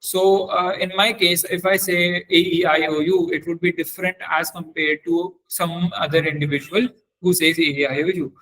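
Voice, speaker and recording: A man presenting an explanatory technical lecture as a trainer, in a hesitant, halting way with frequent fillers and repeated words. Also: sits high, steady tone, fairly bright tone, rough recording.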